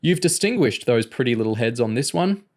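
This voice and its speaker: A man voicing a huge, bullying training instructor in an audiobook, loud, bawling and mocking. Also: lively intonation, brisk, close to an everyday voice. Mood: gloating, mocking. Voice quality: booming, bawling. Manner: sarcastic, taunting. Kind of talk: audiobook character voice.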